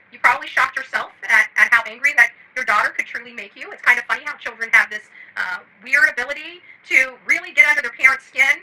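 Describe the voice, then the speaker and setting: A woman's voice: high-pitched, pushed and bright, poor audio. A middle-aged woman giving unscripted commentary to her online channel's audience, blunt and combative, with salty language.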